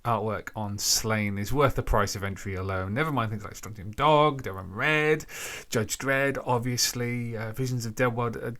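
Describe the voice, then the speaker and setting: A man hosting a podcast, giving an informal introduction in a halting way, thinking aloud between phrases. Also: medium pitch, fairly even intonation, fairly bright tone. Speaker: podcast host.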